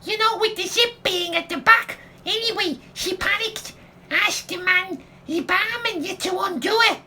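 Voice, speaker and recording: An actress playing a chatty, cheeky gossip in an audio comedy, rambling through stories in colloquial, giggly speech. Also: female voice, very high-pitched, measured pace, pushed and bright, a little hiss or hum, exaggerated voice. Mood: gleeful gossip. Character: chatty, cheeky working-class woman, gleeful gossip. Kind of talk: audio comedy radio play acting.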